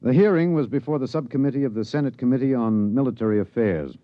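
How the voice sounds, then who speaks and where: An actor playing an earnest, somber news reporter, narrating solemnly in a 1950s radio drama. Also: male voice, mid-range voice, expressive, fast talker, dry recording, natural voice. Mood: grave. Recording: dull 1950s radio recording.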